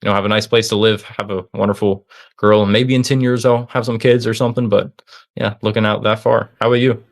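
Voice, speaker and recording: A man's voice: fairly low pitch, level tone, fairly quick, gravelly. A young man of about twenty, a guest in a casual interview, talking in an upbeat, rambling way with many fillers and restarts. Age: young adult, about 20. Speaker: interview guest, student and online seller.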